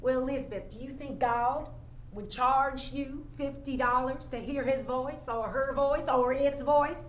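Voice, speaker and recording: A woman plays a jaded, skeptical worker in a stage drama. Her high voice moves at an unhurried pace, with a blunt, folksy, challenging manner. It is recorded in an echoey room. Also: steady tone. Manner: folksy, challenging, pointed rhetorical questions. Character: jaded, skeptical, blunt working tent supplier. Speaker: actor in a staged play reading. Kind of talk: staged play reading, naturalistic dialogue. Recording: echoey room, faint background noise.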